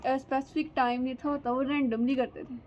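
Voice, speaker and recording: A woman's voice: high voice, flat delivery, fairly quick, a little mellow. A young woman chatting casually with her family. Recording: dull home recording, faint noise.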